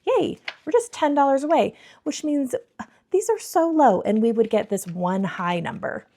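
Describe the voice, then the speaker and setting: A woman in her thirties with a croaky, scratchy throat, chatting to viewers as she counts cash for savings challenges. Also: sits high, animated, normal speed, dark tone. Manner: bubbly, addresses viewers as friends, counts money aloud. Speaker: budgeting vlogger.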